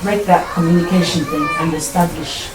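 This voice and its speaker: A woman's voice: fairly low pitch, fairly even intonation, fairly quick. Earnest woman in an echoey room. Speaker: support group member. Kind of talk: group discussion. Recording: echoey room, distant mic, music behind.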